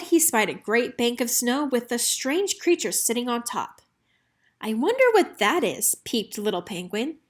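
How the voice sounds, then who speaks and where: Higher-pitched woman reading a storybook. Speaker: storybook reader. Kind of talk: scripted children's story narration, read aloud.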